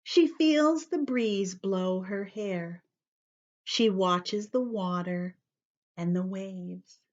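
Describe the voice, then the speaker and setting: Woman, children's storyteller, slow unhurried reading, dull lo-fi sound. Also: medium pitch. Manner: expressive, explains words to young listeners; drops to a whisper at times. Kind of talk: picture book read-aloud for children.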